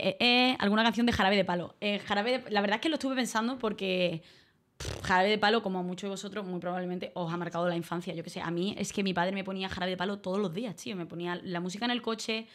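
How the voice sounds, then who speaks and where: A woman from Málaga, a streamer and voice-over artist, chatting casually with her viewers, grateful and quick to laugh. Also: medium pitch. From Málaga, Spain. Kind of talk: casual livestream chat reading donations.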